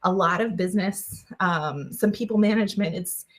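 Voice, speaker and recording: A woman's voice: mid-range voice, normal speed. A woman guest on an interview podcast, answering in a chatty way with many fillers and restarts. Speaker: guest, engineer.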